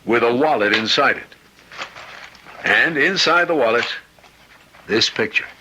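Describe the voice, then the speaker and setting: An actor playing an earnest, compassionate hospital chaplain with a grave manner in an old-time radio drama. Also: male voice, mid-range voice, animated, unhurried, bright, forceful tone, a little hiss or hum.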